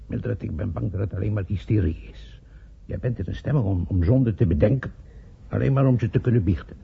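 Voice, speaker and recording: An actor playing a dry, ironic husband of nearly seventy in a radio play, his voice husky and creaky. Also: lowish voice, a little fast, full character voice. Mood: dry disbelief. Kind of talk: radio drama acting. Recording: narrow, phone-like old radio recording.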